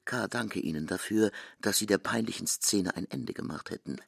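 A man with a gravelly, husky voice giving an expressive, articulate scripted narration for a literary radio drama. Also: fairly low pitch, normal speed, no room sound, clean studio sound, soft background music.

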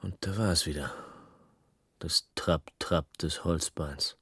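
Deep, husky hard-boiled crook. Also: male voice, takes it slowly, bright, forceful tone, no echo, clean studio sound, full character voice. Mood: tense, brooding. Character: hard-boiled small-time male crook recounting his past, restless. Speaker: narrator and lead. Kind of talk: scripted noir radio drama narration.

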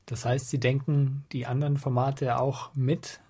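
A man working as a radio interviewer, putting measured questions to his guest. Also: medium pitch, flat delivery, mellow, husky.

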